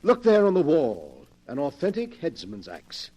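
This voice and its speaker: An actor playing a sinister, gloating gentleman collector in 1940s radio horror style, speaking with suave menace and relish. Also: on the high side, wildly swooping pitch, measured pace, exaggerated voice. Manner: theatrical, suavely menacing, relishing his words.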